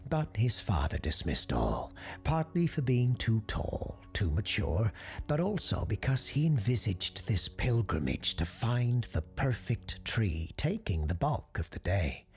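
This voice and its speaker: A man playing a genial parapsychologist radio host who tells Christmas ghost stories in a husky, creaky, measured, genteel voice. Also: on the low side, very animated, darkish tone, heavily acted. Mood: storytelling. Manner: genteel, measured storyteller's delivery. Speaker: host and storyteller of an audio fiction show. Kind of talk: scripted storytelling, radio-show monologue.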